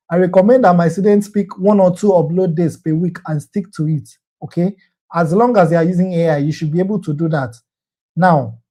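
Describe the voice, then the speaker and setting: A man speaks in a fairly high, soft-edged, mellow voice. He talks through his course's common questions as an earnest sales pitch. He repeats lines for emphasis and closes his points with a reassuring "okay?". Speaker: online course instructor.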